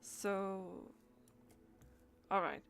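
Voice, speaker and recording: A woman's voice: mid-range voice, extremely slow, gravelly, a bit bright, slight background noise. A woman streaming a game, reading dialogue aloud and chatting as she plays, with stumbles and repeated words.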